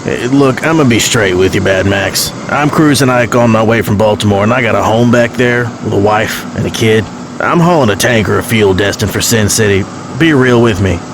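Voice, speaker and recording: A man's voice: on the low side, moderate pace, lightly acted. A man playing a worried family-man trucker, plain-spoken and full of CB slang, in an audio drama. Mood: worried, resolved. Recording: noisy CB radio in truck cab.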